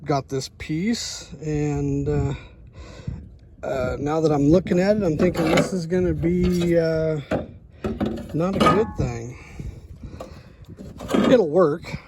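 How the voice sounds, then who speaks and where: Middle aged guy doing a diy vlog, slow and creaky, rambles and thinks out loud, noisy recording around vehicles. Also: fairly high pitch. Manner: halting, rambling, plain-spoken. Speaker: truck driver, DIY vlogger.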